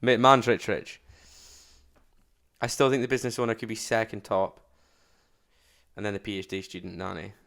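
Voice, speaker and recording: Slangy man reacting loudly. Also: fairly low pitch, moderate pace, pauses often. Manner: blunt slangy chat, loud outbursts. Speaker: streamer reacting to a video. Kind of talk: casual reaction commentary.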